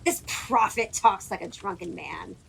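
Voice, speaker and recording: A woman's voice: mid-range voice, a little slow, creaky, slightly rough, heavily acted, poor audio. A woman acting a haughty, jealous queen in an audio play, imperious and mocking. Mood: scornful. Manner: imperious, mocking, sharp-tongued.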